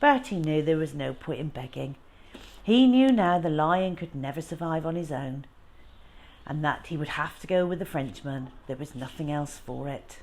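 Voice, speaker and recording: A woman narrating a children's storybook expressively, with measured, dramatic delivery that can drop to a hush. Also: deep voice.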